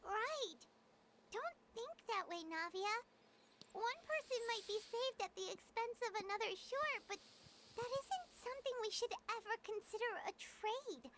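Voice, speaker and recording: A woman's voice: very high-pitched, animated, measured pace, fairly bright tone, slight background noise, exaggerated voice. A woman voicing a small, childlike, earnest fairy companion in a video game, with music behind her.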